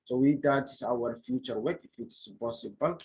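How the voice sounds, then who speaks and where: A man from Somalia presenting research at an online conference, in halting nonnative English with frequent restarts. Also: medium pitch, darkish tone, breathy edge. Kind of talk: academic presentation.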